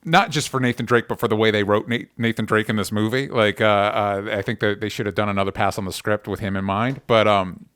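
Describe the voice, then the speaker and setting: A man hosting a podcast in casual chat, halting and correcting himself as he goes, swearing freely. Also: lowish voice, steady tone, a bit bright, dry recording. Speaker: podcast host.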